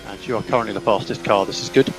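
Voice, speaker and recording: A man's voice: lowish voice, fairly even intonation, racing, vocal fry, low-quality recording. Male race engineer on team radio, music behind. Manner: clipped, repeats instructions. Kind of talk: team radio instructions to a racing driver.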